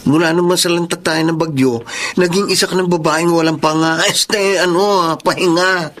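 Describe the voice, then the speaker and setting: An actor playing a concerned, friendly young man, gentle and encouraging, in conversational radio drama style. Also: on the high side, steady tone, normal speed, close to an everyday voice.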